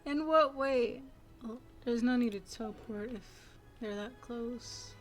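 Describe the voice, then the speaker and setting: A woman in her twenties giving casual let's play commentary in a soft-spoken, reserved manner. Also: on the high side, measured pace. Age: 20s. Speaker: gaming video creator. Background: game music.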